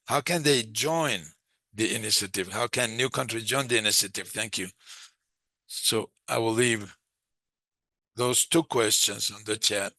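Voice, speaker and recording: A man giving a live interpretation of a webinar discussion, speaking in halting, phrase-by-phrase chunks. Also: fairly low pitch, vocal fry, bright, forceful tone, no room sound. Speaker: simultaneous interpreter.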